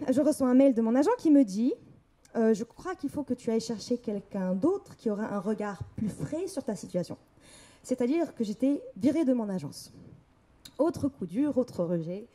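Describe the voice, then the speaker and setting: A young woman from Morocco tells her own story to an audience, candid and lively. Her voice is fairly high and swings widely in pitch, with a dark, mellow tone. She speaks on stage at a public talk. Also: normal speed. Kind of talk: personal story told on stage. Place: event hall.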